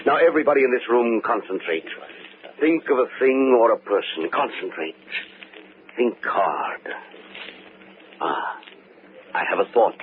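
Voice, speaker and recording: An actor playing a grave, theatrical stage mentalist in a 1940s radio mystery. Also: male voice, medium pitch, lively intonation, lightly acted. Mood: showy, mysterious. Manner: theatrical, deliberate. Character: grave, urgent stage mind reader and mentalist. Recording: scratchy, hissy, tinny vintage radio recording.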